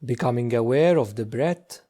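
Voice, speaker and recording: Mellow, unhurried man guiding meditation. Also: mid-range voice. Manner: slow, hushed, gentle delivery with long pauses. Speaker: meditation teacher. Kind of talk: guided meditation.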